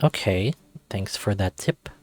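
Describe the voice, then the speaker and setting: A man's voice: lowish voice, expressive, a little slow. A man reading a visual novel aloud as narrator. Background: music.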